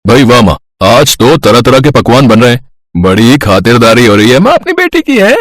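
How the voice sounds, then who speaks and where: A man voicing an affectionate, teasing grown brother in a cartoon, hearty and husky. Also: medium pitch, very animated, moderate pace, faint music behind, close to an everyday voice.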